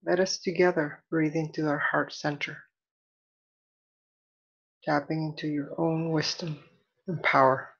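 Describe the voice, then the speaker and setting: A woman leading a guided meditation as an instructor, soothing and deliberate, in measured phrases with long pauses. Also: low-pitched, level tone, vocal fry, slightly rough, lo-fi sound.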